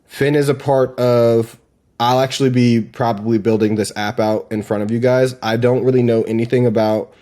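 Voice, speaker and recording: A man's voice: medium pitch, steady tone, moderate pace, dark tone. Casual, enthusiastic man giving a demo. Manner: informal, slangy, enthusiastic. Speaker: presenter demonstrating AI voice assistants.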